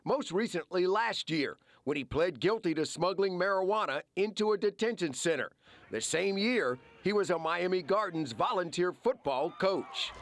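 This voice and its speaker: A man's voice: high-pitched, animated, moderate pace. An American man narrating a scripted investigative television news report.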